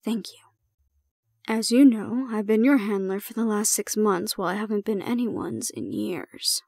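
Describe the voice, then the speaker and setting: Woman acting as stern, composed supervisor for an audio drama; formal, deliberate delivery, dry close sound. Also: medium pitch, expressive, darkish tone, dry recording. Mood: calm, formal. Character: composed, stern female supervisor handling a dangerous ward. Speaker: voice actor in audio roleplay. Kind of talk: scripted audio roleplay acting.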